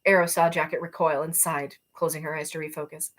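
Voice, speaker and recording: A woman's voice: deep voice, flat delivery, a little fast. A woman narrating fiction expressively, as an author reading her own novel aloud. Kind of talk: expressive audiobook-style narration.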